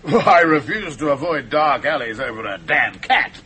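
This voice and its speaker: An actor playing a tactless, excitable film writer in a radio play, prattling on and repeating himself in little stammers. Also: male voice, a little high, a little slow. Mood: dismissive. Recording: noisy old radio drama recording.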